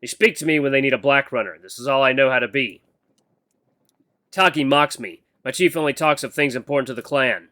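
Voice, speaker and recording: A man's voice: mid-range voice, fairly quick, bright, forceful tone, natural voice. A man voicing a stern, socially awkward beast-man mentor who speaks in a stiff, formal, blunt way. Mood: insecure, urgent.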